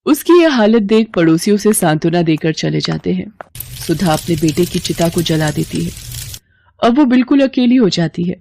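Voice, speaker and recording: A woman's voice: lowish voice, animated, moderate pace, gravelly, darkish tone, no echo. A woman narrating a scripted moral story for children as a storyteller.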